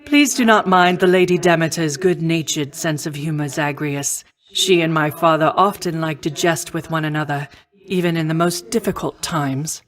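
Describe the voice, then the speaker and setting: A woman voicing a noble, composed goddess of wisdom and war in a video game, with formal, measured and stately diction. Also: sits low, natural voice. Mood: courtly, poised. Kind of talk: scripted video game voice acting.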